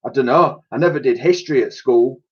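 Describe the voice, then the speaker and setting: Man doing a hapless storybook lady, high-pitched, plaintive, fussing, dull lo-fi recording. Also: a little fast, slightly rough, lightly acted. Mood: clueless. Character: grown lady, fretful, hapless, none too bright.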